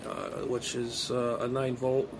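Middle-aged male hobbyist vlogger, rambling and muttering as he thinks aloud, medium pitch, phone audio with noticeable noise. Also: completely flat delivery, slow. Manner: rambling, muttering to himself while handling items. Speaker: amateur radio hobbyist and vlogger. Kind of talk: casual unboxing vlog, thinking aloud. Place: small bedroom, indoors. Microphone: phone propped at arm's length. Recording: clear background noise, room ambience.

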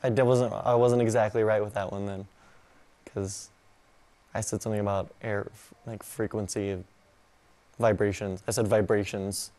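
A man's voice: lowish voice, little pitch movement, normal speed, pauses often, warm tone. A man in his twenties giving casual interview answers. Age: 20s. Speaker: street interviewee. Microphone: clip-on lapel mic.

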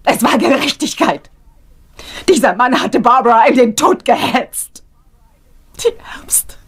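A woman playing a bitter, grieving young woman in a radio crime drama, speaking intensely and haltingly with a breathy voice. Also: a little high, expressive, a bit bright, dry recording, exaggerated voice. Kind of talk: emotional radio drama monologue.

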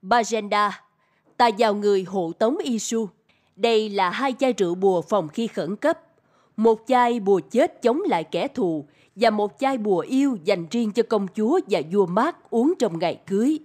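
Woman as a husky older cartoon queen. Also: medium pitch, expressive, darkish tone, close to an everyday voice. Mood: instructing. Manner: formal, measured, admonishing. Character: stern, persuasive older queen mother. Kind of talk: dubbed cartoon fairy tale acting.

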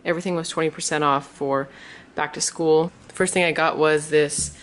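A woman's voice: sits low, steady tone, moderate pace. A woman chatting casually and enthusiastically in an informal shopping haul vlog. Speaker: vlogger, parent.

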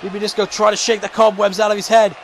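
A man calling a video game wrestling match play-by-play, shouting excitedly and going hoarse. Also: fast talker, a faint crowd, a little hiss or hum. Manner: shouting, high-pitched and strained, losing his voice. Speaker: commentator.

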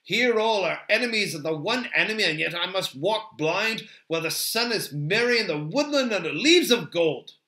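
A man voicing a proud, quick-tempered male elf in a storybook, lofty in manner. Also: high-pitched, animated, pushed and bright, far from the mic, pitched above the performer's own voice, full character voice. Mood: lamenting. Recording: roomy, echoing sound.

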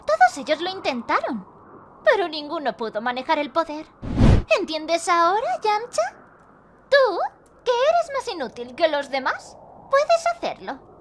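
A woman's voice: extremely high pitch, wildly swooping pitch, measured pace, a little hiss or hum, full character voice. A woman voicing a solemn, blunt, forgotten mystic in a cartoon fandub. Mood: grand, blunt. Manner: dramatic, solemn. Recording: music behind the voice.

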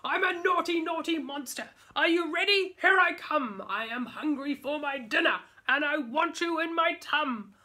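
A woman voicing a boisterous, hungry, naughty male monster for a storybook, declaiming threats in a loud singsong. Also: high-pitched, expressive, normal speed, few pauses. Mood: gleefully menacing.

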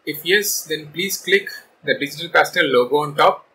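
A man's voice: on the high side, normal speed, pushed and bright, poor audio. A man, presenting to camera as a channel host in a small room. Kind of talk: video introduction to camera. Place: small furnished room indoors. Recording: clear background noise.

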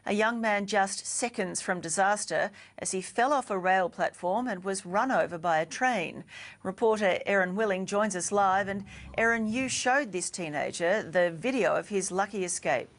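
Woman, news anchor, mid pitch, brisk. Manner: quick, polished anchor delivery. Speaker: news host. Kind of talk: studio news introduction.

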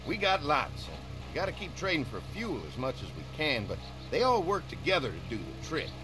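Casting: friendly rural farmer in a game, plainspoken, very lively intonation, music underneath. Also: male voice, a little high, fairly quick. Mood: easygoing, sincere. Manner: folksy, plainspoken. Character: friendly, practical young rural dairy farmer. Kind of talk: video game voice acting, conversational. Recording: game music bed behind voice.

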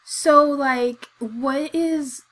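A young woman reacting to a game on a desk microphone, giggly and bemused as she keeps pressing the same questions. Her voice is high, and she talks slowly. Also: fairly bright tone. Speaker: gamer. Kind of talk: let's play commentary.

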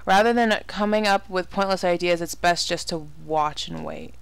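A woman's voice: fairly low pitch, moderate pace, creaky, smooth. A woman giving casual horror game commentary, exclaiming, swearing and muttering asides to herself. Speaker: let's play gamer.

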